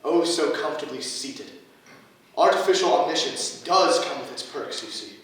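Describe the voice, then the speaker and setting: A pompous, ancient cyborg archmage acted by a man declaims in a stage drama with grand, villainous theatricality. The voice is fairly high for a man. It is heard from a distance in a very reverberant room. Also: expressive, normal speed, a bit bright. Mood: smug, confiding. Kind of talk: staged reading of a play. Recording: very echoey room, distant mic.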